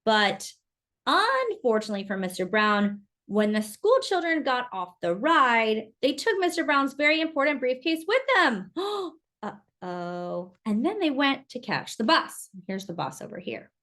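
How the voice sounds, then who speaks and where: A woman reading a picture book aloud to young children in an expressive, exclamatory way, with chatty asides and questions. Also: fairly high pitch, measured pace. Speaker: children's storytime reader.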